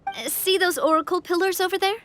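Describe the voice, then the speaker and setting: A woman's voice: very high-pitched, fairly quick, a bit bright. A woman voicing a cheerful, friendly young storybook king in a game. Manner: upbeat, friendly, reassuring. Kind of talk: game voice acting.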